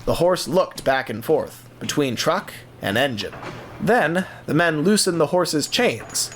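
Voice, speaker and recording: A man's voice: on the high side, lively intonation, slow pace, a bit bright, a little hiss or hum. A man narrating an audio drama in a scripted, dramatic storybook style.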